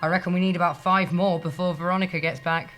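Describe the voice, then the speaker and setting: Woman playing a low-pitched, sardonic office slacker. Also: fairly even intonation, quick pace, a bit bright, soft background music, natural voice. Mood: dry, sarcastic. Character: sardonic, surly female office slacker and internet troll. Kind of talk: comedy audio drama acting.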